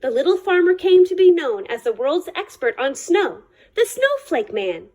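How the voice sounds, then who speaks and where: A woman reading a children's picture book aloud in an expressive storytelling style, with measured, dramatic phrasing. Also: extremely high pitch. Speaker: reader of a children's picture book, library staff.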